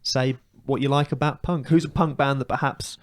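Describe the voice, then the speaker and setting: A man's voice: mid-range voice, fairly even intonation, fairly quick, no room sound. A British man co-hosting a comedy podcast, chatting casually with colloquial, jokey complaints and opinions.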